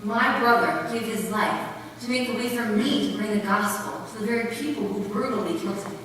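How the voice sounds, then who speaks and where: A woman's voice: medium pitch, brisk, fairly bright tone, lightly acted. A woman playing an earnest missionary narrator in a declamatory, scripted readers' theater recital. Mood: solemn. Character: narrator and missionary pilot's devoted sister, earnest. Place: large indoor recital hall, distant microphone. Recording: echoey hall from far off, faint noise.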